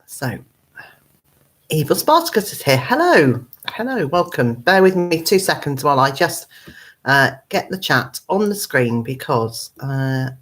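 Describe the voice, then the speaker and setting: A woman with a hoarse, croaky voice, hosting a casual live chat from her computer at home, rambling with many ums and uhs. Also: low voice, big pitch swings, measured pace. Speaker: gardening channel host.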